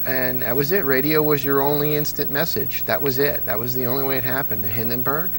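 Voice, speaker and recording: A man's voice: mid-range voice, fairly even intonation, brisk, slight background noise, low-quality recording. A middle-aged American man being interviewed as a radio fan, telling stories conversationally and repeating phrases for emphasis. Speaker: interview guest, old-time radio fan. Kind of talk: animated conversational storytelling.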